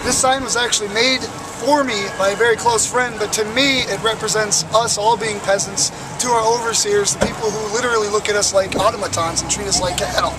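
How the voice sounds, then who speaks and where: A young man with a raspy, worn voice, speaking earnestly and with passion as a protester in an outdoor street interview, with frequent fillers. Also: high voice, steady tone, brisk, hardly any pauses, very noisy. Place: outdoors on a city plaza by traffic.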